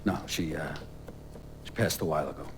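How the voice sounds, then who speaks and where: A man's voice: very deep voice, brisk, slight background noise. A man playing a weary, vengeful mobster in a video game, speaking in a casual, blunt way. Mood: subdued. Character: weary, vengeful American mobster, gruff and matter-of-fact. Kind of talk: dramatic video game dialogue. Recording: dialogue over loud guitar music.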